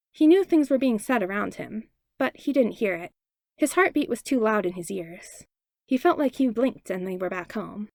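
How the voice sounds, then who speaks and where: A woman narrates a story expressively, her pitch moving around a lot. There is noticeable fry in her voice, and the sound is dry and close. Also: no room sound. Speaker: podfic narrator.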